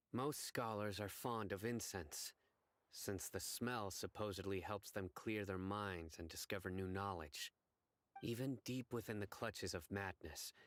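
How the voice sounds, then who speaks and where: An actor playing a composed, serious, analytical man in a video game, measured and articulate. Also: sits low, a bit bright. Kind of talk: video game dialogue acting.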